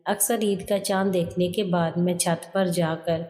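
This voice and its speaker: A woman's voice: lowish voice, fairly even intonation, unhurried, a little mellow. A woman reading a scripted first-person story as a narrator. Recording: long hall reverb.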